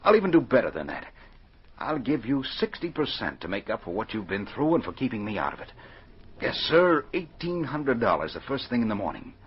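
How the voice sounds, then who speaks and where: Casting: glib, scheming businessman, 1940s radio drama; low, very animated delivery, dull vintage recording. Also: a little fast, husky. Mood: glib, placating. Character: smooth, scheming businessman placating an old partner.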